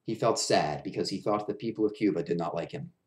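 A man's voice: sits low, quick pace, darkish tone. A man in his thirties reading a children's story aloud in a small room at home. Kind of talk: children's picture-book read-aloud. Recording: roomy echo of a bare room.

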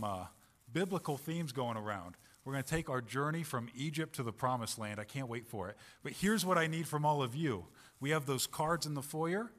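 A man playing a swaggering Australian adventurer with a pet koala, in a put-on Australian accent, pitching an event to a church crowd. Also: a bit bright, lightly acted. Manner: hearty, upbeat, pitching to kids. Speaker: Vacation Bible School promoter. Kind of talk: costumed church announcement.

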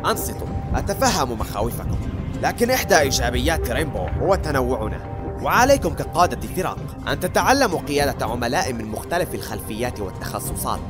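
A man's voice: mid-range voice, very animated, music in the background, close to an everyday voice. A man playing a composed, authoritative commander of an elite unit in a dubbed cartoon. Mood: composed, firm. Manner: brisk, authoritative. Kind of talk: dubbed animation acting.

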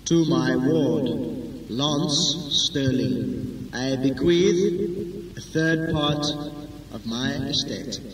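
A man voicing a pompous will being recited in a slow, ceremonious, drawn-out declamation for comedy. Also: medium pitch, reverberant, in a large hall, distant mic, a crowd in the background, poor audio. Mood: solemn, pompous.

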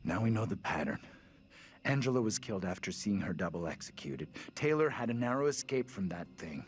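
A man's voice: lowish voice, lively intonation, brisk, lightly acted. An actor playing a commanding, pragmatic man in a horror game, blunt and authoritative. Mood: grim. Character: commanding, pragmatic modern man taking charge. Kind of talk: dramatic horror game acting.

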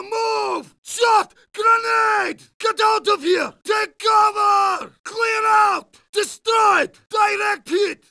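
A troubled, idealistic young Red Army man from a war video game. He speaks English with a Russian accent and a heavily gravelly edge. The recording is dry, with no room around the voice. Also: extremely high pitch, bright, forceful tone, no room sound, exaggerated voice. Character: idealistic young Soviet soldier, troubled by brutality. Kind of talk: video game character voice lines.

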